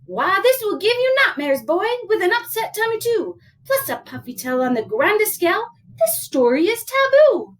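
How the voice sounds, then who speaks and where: Scolding mother cat acted by a woman, very high pitch, sweeping intonation, exaggerated, theatrical, storybook character. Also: sing-song, normal speed. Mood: alarmed.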